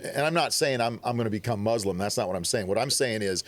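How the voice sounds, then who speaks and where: Give me a man, a slightly low voice, telling an animated story on a podcast. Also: quick pace. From Upper Midwest. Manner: animated storyteller, acts out quotes. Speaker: podcast guest. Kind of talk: casual storytelling.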